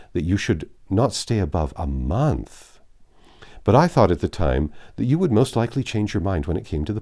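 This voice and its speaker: A man's voice: deep voice, mellow, pitched above the performer's own voice, full character voice. A man voicing a gushing, insincere young woman with emphatic, effusive delivery in a storybook reading.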